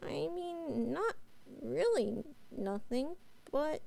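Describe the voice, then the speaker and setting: A woman playing a small, bright servant girl in a bedtime story, hesitant, stammering and deferential. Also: high voice, expressive, extremely slow, gravelly, exaggerated voice. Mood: unsure, thinking. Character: small, shy, eager, bright servant girl named Tiny.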